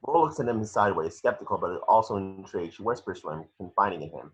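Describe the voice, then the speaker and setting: A man's voice: sits low, fast, slightly rough. A man reading scripted action descriptions as narrator for a table read, on a muffled home recording.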